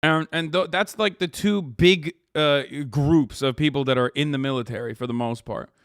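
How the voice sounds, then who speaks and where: A man's voice: a little high, moderate pace, fairly bright tone, no echo, clean studio sound. A man in his thirties from Turkey, ranting casually and profanely while reacting to clips as a streamer. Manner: profane, emphatic, rambling with restarts.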